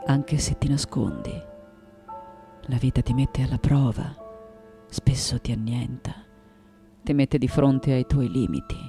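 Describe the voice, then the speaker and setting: An actress playing a reflective, anguished and defiant artist in her late nineties in a theatrical, deliberate radio monologue. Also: a bass voice, gravelly, mellow, heavily acted. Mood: weary, reflective. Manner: theatrical, deliberate, dwelling on repeated words. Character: 98-year-old woman artist, intense, reflective, anguished, defiant.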